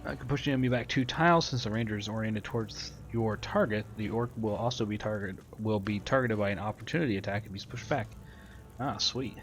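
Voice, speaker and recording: A man's voice: lowish voice, a little fast, soft background music. A man giving casual, matter-of-fact gameplay commentary and reading tutorial text aloud as he plays. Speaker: gamer doing a playthrough.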